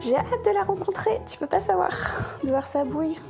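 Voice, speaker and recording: Lively woman in her thirties. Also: very high voice, brisk, gravelly, over loud music. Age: 30s. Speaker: expectant mother. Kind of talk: casual interview chat.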